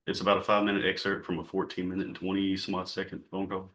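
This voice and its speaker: Deep, very quick-talking male witness. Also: completely flat delivery, fairly bright tone. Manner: brief, deferential answers. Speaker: jail captain testifying as witness. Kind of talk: formal testimony. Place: courtroom.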